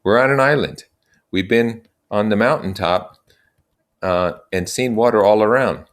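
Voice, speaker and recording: A man's voice: lowish voice, measured pace, pitched above the performer's own voice, close to an everyday voice. A man voicing an earnest, reasonable boy leader who declares things in short, plain statements, in a storybook reading.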